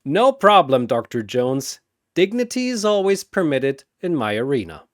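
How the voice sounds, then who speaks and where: A man's voice: fairly high pitch, sing-song, normal speed, dry recording, studio quality. A man voicing a grandiose cosmic arena master, an amused showman with a ceremonial announcer's manner, in a fantasy story.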